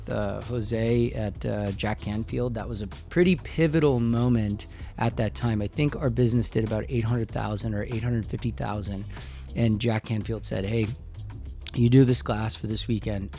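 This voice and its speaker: A man talking casually as a podcast guest, informal and halting as he works through his thoughts. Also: lowish voice, creaky, dark tone. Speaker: podcast guest, business owner. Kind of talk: casual conversational interview.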